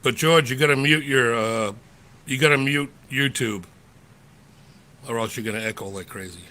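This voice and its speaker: A man's voice: mid-range voice, moderate pace, bright, forceful tone. A man co-hosting a podcast, telling a rambling anecdote in a loose, slangy way. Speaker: podcast co-host. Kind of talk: casual storytelling chat.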